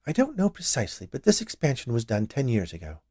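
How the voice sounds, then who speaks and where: A man's voice: medium pitch, wildly swooping pitch, fast talker, breathy edge, dry recording, studio quality, voice pushed up, heavily acted. A man voicing a cheerful, chatty large woman who runs a candy shop in a storybook tale. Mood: cheerful, proud. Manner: bright, chatty, eager.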